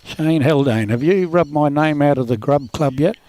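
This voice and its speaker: Dry-humoured Australian bowls commentator. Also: male voice, fairly high pitch, normal speed, dark tone. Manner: dry, self-deprecating humour. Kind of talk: relaxed live sports commentary.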